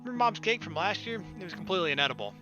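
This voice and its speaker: Male let's player, fairly high voice, expressive story reading with casual delivery, game music behind him. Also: racing, highs cut off. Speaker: let's player reading a visual novel aloud. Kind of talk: expressive narration and dialogue reading with casual asides.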